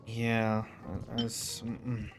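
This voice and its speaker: A man's voice: fairly low pitch, monotone, slow pace, over music. A man giving casual, rambling game commentary, thinking aloud as he streams.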